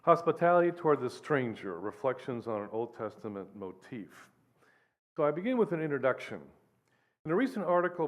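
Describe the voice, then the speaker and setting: Man in his sixties, lecturer, measured reading, mid-range voice, podium mic. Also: medium pitch. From United States and Guatemala. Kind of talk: reading an academic paper.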